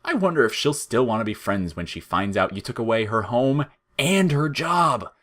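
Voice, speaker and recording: High, taunting little flower monster. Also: male voice, very animated, normal speed, fairly bright tone. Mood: smug, cruel. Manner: mocking, sneering, theatrical. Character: taunting, smirking, childish talking flower monster, male. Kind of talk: audio fiction character voice.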